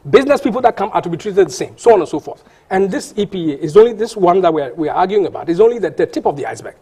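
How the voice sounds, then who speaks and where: A high-pitched man, who talks fast and keeps pushing his point. He stumbles, restarts and repeats words as he argues on a TV panel, with a husky voice. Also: expressive, warm tone. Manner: insistent, argumentative, many restarts and repeated words. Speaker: panel guest. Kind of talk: heated TV panel debate.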